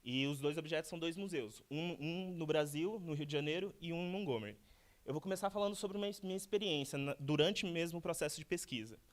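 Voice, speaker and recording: A man's voice: on the high side, quick pace. A Brazilian man in his thirties gives a talk, keeping it loose and conversational. He stops and restarts often, repeating words as he goes. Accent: Brazilian Portuguese. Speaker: guest speaker, architect. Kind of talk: lecture told as casual conversation.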